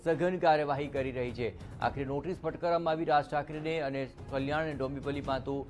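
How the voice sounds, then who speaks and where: A man anchors the news in a slightly high voice. His delivery is that of a broadcast bulletin, partly ad-libbed. Music plays faintly behind him. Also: fairly even intonation, brisk. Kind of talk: broadcast news reading with some ad-libbing. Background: background music.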